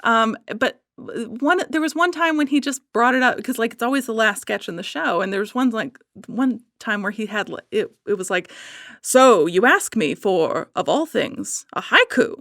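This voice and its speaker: A woman with a fairly high voice talks casually as a podcast guest. She gushes like a devoted fan, leaning on words and repeating them for emphasis. The sound is close and dry. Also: moderate pace, no echo. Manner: gushing, animated fan enthusiasm with emphatic repetition.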